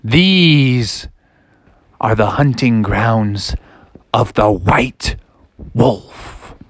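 A woman plays a father frog in a storybook tale. He talks in folksy, drawn-out phrases that swing widely in pitch. He is a cautious parent who is easily flustered. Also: expressive, no room sound, heavily acted. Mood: ominous, wary.

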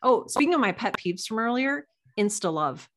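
A woman's voice: fairly high pitch, big pitch swings, normal speed, a bit bright, a little hiss or hum. A woman chatting casually about books in an emphatic way, repeating phrases to press her point. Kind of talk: casual book chat.

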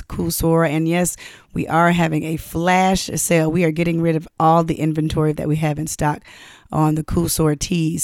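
A woman's voice: low voice, vocal fry, darkish tone, no room sound, clean studio sound. A woman hosting a podcast, talking in an upbeat, chatty way and often affirming her guest. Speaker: podcast host. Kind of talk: casual podcast chat.

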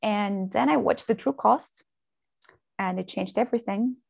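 Woman with gravelly voice. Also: mid-range voice, measured pace, no echo. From Russia. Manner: relaxed, with fillers and light laughs. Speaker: interview guest. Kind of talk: casual interview chat.

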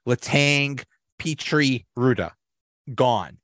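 A man hosting a sports podcast in casual chat, reacting with drawn-out exclamations. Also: medium pitch, no echo, studio quality. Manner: slow, lively intonation, reacts with drawn-out exclamations. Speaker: podcast host.

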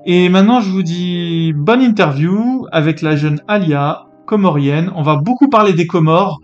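A man's voice: sits high, normal speed, muffled recording, soft background music. A man hosting a podcast interview, talking hesitantly with many fillers and restarts. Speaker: podcast host. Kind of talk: casual interview.

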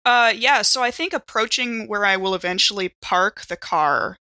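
A woman's voice: medium pitch, moderate pace, sharp tone, dry recording. A woman playing a tabletop roleplaying game, chatting informally and improvising what her character does. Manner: informal, chatty, hedging with "kind of" and "like". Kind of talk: casual improvised game narration and banter.

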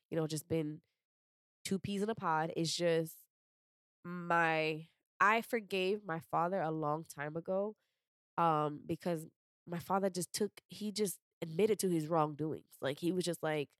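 Young woman host talking real candid on a podcast, lowish voice, dry close mic. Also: no room sound. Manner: candid, emphatic, repeats phrases for stress. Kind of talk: casual podcast chat.

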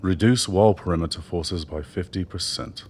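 An actor in a radio drama playing an older doctor, a persuasive political mentor who speaks in measured, eloquent phrases. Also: male voice, sits low, darkish tone, slightly rough. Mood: commanding, urging. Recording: noticeable background noise.

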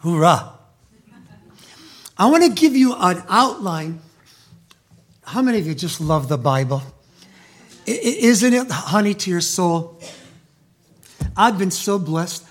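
Slow, high-voiced pastor, native Italian speaker. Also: male voice, sing-song. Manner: slow, emphatic reading building to loud proclamation; quiet, soft prayer voice. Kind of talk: sermon with scripture reading and prayer. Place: church building.

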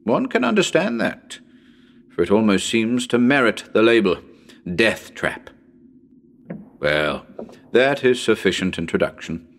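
A man acts as a genial radio presenter in a dramatic reading of a ghost story. His voice is low and highly expressive in pitch, with formal, measured phrasing and a dry, wry edge as he addresses the listeners. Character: genial, well-spoken male radio presenter hosting a ghost hunt.

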